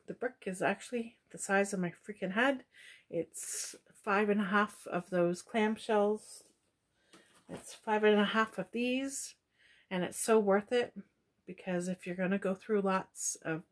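A middle-aged woman talks casually to her webcam in a small, dim room at home. Her chat is relaxed and rambling, with a tired, slowing-down feel as she gives her opinions one after another. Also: medium pitch. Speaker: product reviewer. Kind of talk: casual product review chat.

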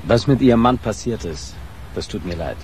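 An actor playing a pushy, wry newspaper reporter in naturalistic radio crime drama dialogue, probing and casual. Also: male voice, lowish voice, animated, normal speed, darkish tone, a little hiss or hum, lightly acted, low-quality recording. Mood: apologetic, persistent. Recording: radio play with background ambience.

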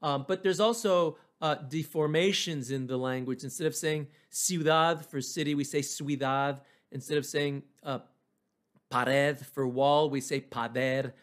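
Guy teaching history, kinda high voice, explains stuff informally. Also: fairly even intonation, unhurried, clean tone. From New Mexico, United States. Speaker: history lecturer.

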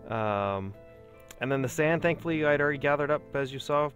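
A man's voice: fairly high pitch, fairly quick, fairly bright tone, lo-fi sound. Chatty man narrating gameplay, faint music. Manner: chatty, self-talking, explaining as he plays. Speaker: gaming video host. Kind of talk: casual gameplay commentary.

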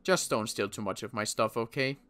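A young man playing a protective survivor in an in-character roleplay, talking in a halting way with frequent restarts. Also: on the low side, fairly bright tone, smooth. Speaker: roleplay series player.

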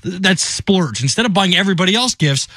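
A man's voice: high voice, expressive, fairly quick, sharp tone, no echo. A man hosting a radio show, chatting casually and jokingly between songs in a conversational way, full of rhetorical questions. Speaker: radio host. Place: radio studio. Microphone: broadcast studio microphone.

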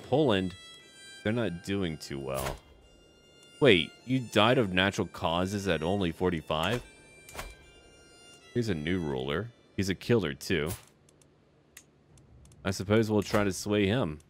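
A man giving casual game commentary with light role-play, musing aloud in short, clipped remarks. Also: deep voice. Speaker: gaming YouTuber. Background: background music.

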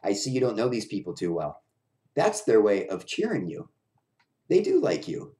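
A man's voice: on the low side, huge pitch swings, normal speed, dark tone. A man voicing an upbeat, reassuring circus clown, breezy and emphatic, in a storybook reading. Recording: roomy echo of a bare room.

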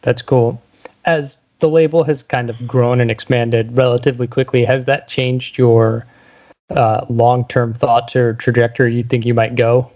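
A man with a mid-pitched voice interviews a guest casually as podcast host. The recording is a little dull and lo-fi. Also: level tone, normal speed, darkish tone. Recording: dull, lo-fi sound.

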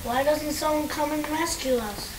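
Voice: sits high, moderate pace, echoey room, recorded from a distance, loud music behind the voice, lightly acted, low-quality recording. A performer playing a frightened, plaintive little boy in an audio horror drama. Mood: scared, plaintive. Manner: plaintive, childlike.

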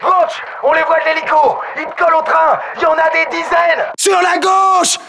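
A male actor playing a gruff, focused special forces soldier in a military video game, with terse, commanding delivery. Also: extremely high pitch, flat delivery, normal speed, pushed and bright, raised voice, exaggerated voice. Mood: urgent. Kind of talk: military game dialogue, radio callouts.

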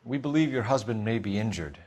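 A man's voice: on the low side, animated, fairly quick, darkish tone, slight background noise, lightly acted. A man acting as a composed, firm 1940s police detective in a video game, with a formal, clipped way of questioning. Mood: polite, businesslike.

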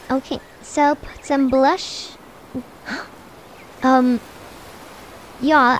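A woman's voice: high voice, a little hiss or hum. A woman voicing a stubborn, sassy young daughter in an amateur cartoon roleplay, with a dramatic manner. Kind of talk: amateur voiced family roleplay.

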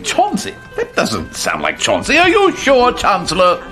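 Doddering old king, high slow voice. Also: huge pitch swings, exaggerated voice. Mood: befuddled. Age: older. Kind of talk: comic video game cutscene acting. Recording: loud music under the voice.

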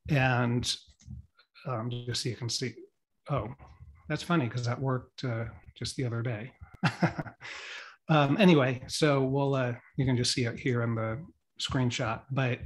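A middle-aged man giving a casual screen-share talk at a meetup from a home room on a video call, relaxed and rambling with many ums and restarts. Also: mid-range voice, unhurried, long pauses. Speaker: meetup presenter. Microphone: webcam on a video call.

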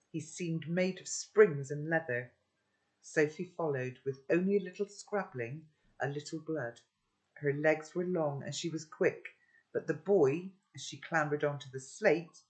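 An older woman reading a children's novel aloud into a laptop webcam at home, then musing gently with rhetorical questions. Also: sits low. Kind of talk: read-aloud storytelling with chat. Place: home room, indoors.

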